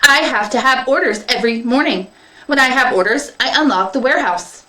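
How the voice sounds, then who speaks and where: A woman's voice: high-pitched, a little fast, pushed and bright, echoey room, voice pushed up, low-quality recording. A woman voicing a small, simple, literal clerical robot with a stiff robotic delivery. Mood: flat, dutiful.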